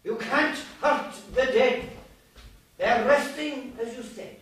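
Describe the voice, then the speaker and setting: An actor with an Irish accent playing an old war veteran on stage, eloquent, defiant and haunted, declaiming deliberately. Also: male voice, extremely high pitch, fairly bright tone, exaggerated voice. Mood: defiant, lofty. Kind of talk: live stage play acting. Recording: echoey theatre stage, distant mic, faint hiss.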